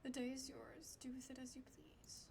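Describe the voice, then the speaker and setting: A woman's voice: sits high, completely flat delivery, normal speed, gravelly, darkish tone, in a whisper. A woman streaming a game, reading dialogue choices aloud and commenting casually in a playful, informal way. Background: game music.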